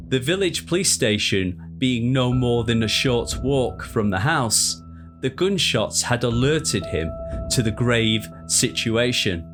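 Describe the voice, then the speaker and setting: A man narrating a historical crime tale in a measured, deliberate, dramatic storytelling style. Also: medium pitch.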